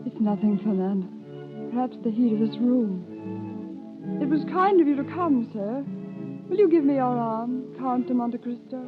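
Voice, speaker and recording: Countess, vintage radio play: high, tender, anguished, formally polite, very narrow tinny sound. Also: female voice, animated, unhurried. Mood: faint, composed. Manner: formal, earnest questioning. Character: refined countess, anguished, tender, hiding a lost love. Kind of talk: 1930s radio drama acting. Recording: thin phone-like old broadcast, music behind.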